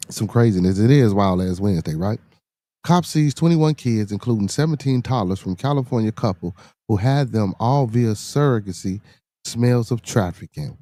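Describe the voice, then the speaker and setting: A man's voice: on the low side, dark tone, no room sound, clean studio sound. A middle-aged man with a Chicago accent, hosting a podcast livestream, reading the chat aloud. He talks loosely and profanely, laughs often, and repeats himself for effect.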